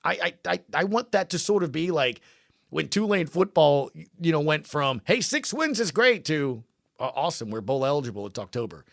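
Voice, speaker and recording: Male sports podcast host, a little high in pitch, dry studio-close sound, casual and emphatic, punchy repetitive phrasing. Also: sing-song, moderate pace, creaky, no echo. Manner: emphatic, repeats phrases, punchy short sentences.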